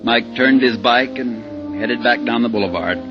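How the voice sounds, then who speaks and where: Actor as folksy tense husband, low, muffled radio. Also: male voice, measured pace, lightly acted. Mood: tense narrating. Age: middle-aged. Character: anxious middle-aged husband driving at gunpoint, narrating his ordeal. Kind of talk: 1950s radio suspense acting with first-person narration. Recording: muffled old radio recording with music behind.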